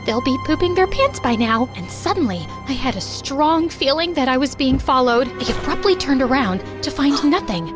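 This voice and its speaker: A woman voicing a dramatic, sassy college-age rebellious daughter who tells her own story in a theatrical, sarcastic way. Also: high-pitched. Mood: suspenseful, jumpy. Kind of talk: animated first-person story narration. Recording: music behind the voice. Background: music.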